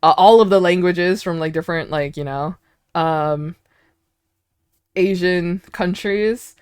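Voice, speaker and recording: A woman's voice: fairly low pitch, takes it slowly, smooth, no room sound, clean studio sound. A young American woman streamer chatting casually with viewers, giggling often and restarting her sentences. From United States. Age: young adult.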